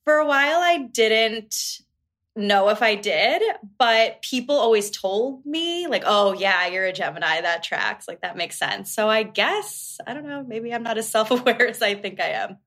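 A woman's voice: fairly high pitch, lively intonation, moderate pace, a bit bright. A young adult woman guest chatting casually, self-deprecating and laughing. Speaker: managing editor, podcast guest. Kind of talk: casual chat.

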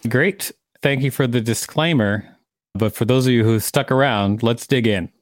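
Man co-hosting a podcast, medium pitch. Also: normal speed, no room sound, clean studio sound. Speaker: podcast co-host. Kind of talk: reading an encyclopedia article aloud with commentary.

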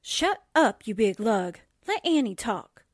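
Woman playing a high, swooping, sassy sidekick. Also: very animated, exaggerated voice. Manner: drawn-out, sassy. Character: wisecracking, jittery newspaperwoman sidekick, sassy. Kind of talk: 1940s radio drama acting.

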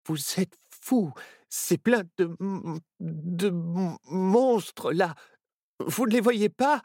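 A high, shaky boy's voice from a children's storybook, jumpy and panicky, tripping over his words. A man puts him on, reading slowly, in a dry, close sound. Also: sing-song, no echo, exaggerated voice. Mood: scared. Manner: stammering, panicky. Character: frightened little boy, jumpy and timid.

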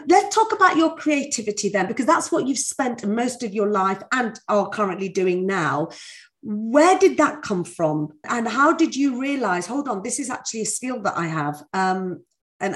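A woman hosting a podcast interview, chatty and reassuring with her guest. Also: fairly high pitch. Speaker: podcast host.

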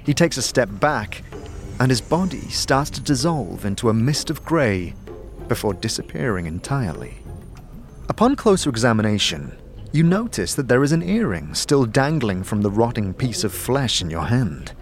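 A man's voice: medium pitch, sing-song, normal speed, faint music behind. A man reading scripted fantasy narration dramatically, as the storyteller of an audio drama.

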